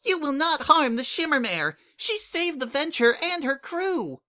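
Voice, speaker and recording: A man voicing a fierce, protective young changeling girl in a fan audiobook reading, shouting slowly in a high voice. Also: very animated, exaggerated voice. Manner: high, slow, shouting snarl.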